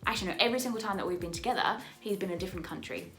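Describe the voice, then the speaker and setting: A woman's voice: medium pitch, racing, fairly bright tone, faint music behind. A young Australian woman whose accent is turning a little English, chatting in a bubbly way from her apartment, repeating words for emphasis and laughing often. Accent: Australian, picking up some English. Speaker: vlogger, musical theatre performer. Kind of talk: casual chatty vlog to camera.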